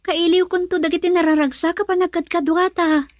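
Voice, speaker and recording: A woman's voice: extremely high pitch, steady tone, fairly quick, no room sound, clean studio sound. An actress with an Ilocano accent playing an earnest woman in a radio love drama. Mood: emotional, troubled.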